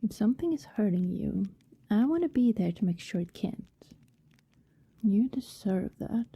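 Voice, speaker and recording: A woman playing an affectionate, wistful vampire neighbour in an intimate ASMR roleplay, speaking softly and tenderly, often in a hesitant whisper. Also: mid-range voice, lively intonation, no echo, heavily acted. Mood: wistful, protective. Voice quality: soft, breathy, often whispered. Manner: slow, tender, hesitant murmuring.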